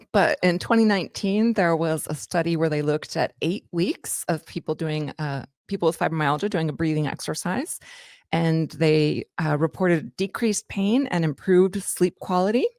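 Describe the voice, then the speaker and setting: A woman's voice: deep voice, normal speed, darkish tone, dry recording, clean studio sound. A woman co-hosting a health chat show and citing research with enthusiasm, using plenty of casual fillers. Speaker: co-host and author.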